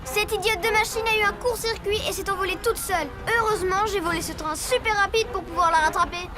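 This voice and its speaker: Sneering, high-voiced boy villain. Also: fairly even intonation, fast. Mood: boastful. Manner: gloating, theatrical, sneering. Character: boastful, scheming boy mad-scientist villain. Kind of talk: animated children's cartoon acting. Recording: music under the dialogue.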